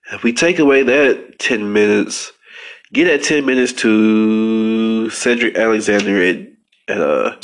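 A man's voice: on the low side, a bit bright. A man with a stuffy nose hosting a casual wrestling podcast, rambling with frequent restarts and fillers. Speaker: podcast host.

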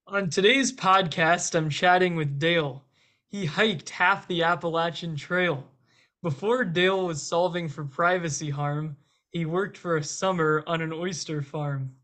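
A man's voice: a little high, a little slow, pushed and bright. A man hosting a podcast, reading an introduction and prompting his guest loosely with fillers. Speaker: podcast host. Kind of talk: interview host.

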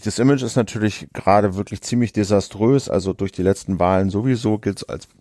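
A man's voice: fairly low pitch, a little fast, no room sound, clean studio sound. A man co-hosting a political podcast as a journalist, talking casually with many fillers. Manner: relaxed, conversational, frequent fillers.

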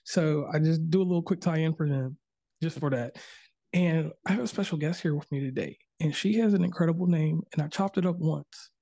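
Male podcast host, mellow tone, dry close sound, easygoing and chatty. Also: on the high side, brisk, gravelly, no room sound. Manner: loose, chatty, drawling with fillers. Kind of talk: casual interview over video call. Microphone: video call.

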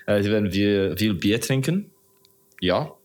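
A man with a low voice and an English accent, a native English speaker talking in his second language. He chats casually as a podcast guest, with halting phrasing as he hunts for words. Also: expressive. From England. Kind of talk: casual interview chat.

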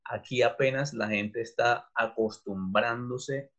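A man's voice: mid-range voice, fairly even intonation, slow pace, a bit bright. A middle-aged man from Venezuela, a teacher being interviewed, talking casually and thoughtfully with frequent fillers and restarts. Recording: dull lo-fi sound.

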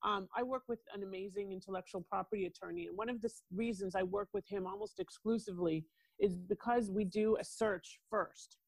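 A woman's voice: mid-range voice, lively intonation, fairly quick, creaky, darkish tone, dry recording, low-quality recording. A woman with a Canadian accent speaking as an expert guest on a podcast, explaining her work in an assured way and addressing the listener directly. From Toronto, Canada. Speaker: guest, international lawyer and mobility strategist.

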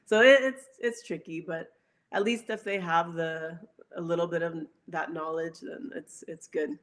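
A woman speaking as an interview guest in casual chat, pausing often and letting phrases trail off. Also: lowish voice, fairly even intonation.

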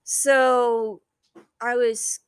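A woman's voice: on the high side, flat delivery, drawn-out words, smooth. A young adult woman telling a personal story as a guest, in a chatty, casual way with lots of "like" and quoted dialogue.

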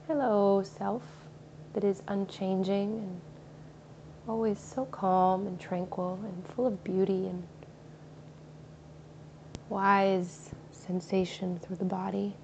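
A woman guiding a meditation in a slow, soothing, soft-spoken way. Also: mid-range voice, flat delivery. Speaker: meditation guide. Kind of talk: guided meditation. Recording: slightly dull recording with faint ambient noise.

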